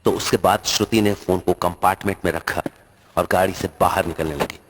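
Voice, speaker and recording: A man's voice: sits low, fairly even intonation, a little fast, a little hiss or hum. A man narrating a scripted horror story.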